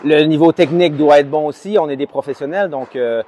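Brief: Canadian French man, 40s, slightly high voice, lively and emphatic, lots of pauses. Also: slight background noise. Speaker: hockey player, team captain. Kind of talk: interview answers.

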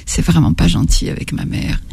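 An older woman from Algeria, a writer, talking slowly and reflectively in an interview, often stopping to search for her words. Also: a little mellow, no echo. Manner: halting, searching for words, many restarts.

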